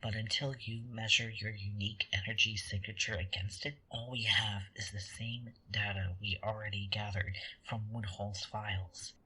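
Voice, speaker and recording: An actor in a sci-fi audio drama playing a conniving angel, smug and taunting, with a breathy, husky voice and a theatrical, condescending delivery. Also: medium pitch, little pitch movement, normal speed, few pauses, pushed and bright, poor audio. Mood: insistent.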